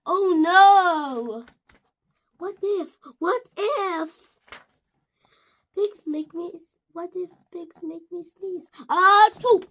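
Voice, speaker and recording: Young girl voicing a high, overwrought storybook elephant. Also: extremely slow, a bit bright, heavily acted. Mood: alarmed. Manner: overwrought, exclamatory, with stretched-out words. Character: dramatic, anxious, sneezy male elephant in a storybook. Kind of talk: expressive children's read-aloud.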